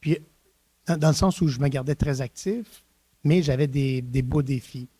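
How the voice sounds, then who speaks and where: A middle-aged man with a mellow, warm tone speaks in a relaxed interview. He tells anecdotes with lively bursts and frequent hesitant restarts. The sound is close and dry. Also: medium pitch, normal speed, slightly rough, no echo. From Eastern Ontario, Canada. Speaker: university rector, guest. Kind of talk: reflective conversational interview.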